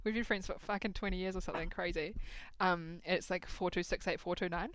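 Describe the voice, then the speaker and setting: A woman's voice: lowish voice, steady tone, fairly quick, fairly bright tone, dry recording, low-quality recording. A young woman hosting a casual chat, laughing often and swearing casually. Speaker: podcast host.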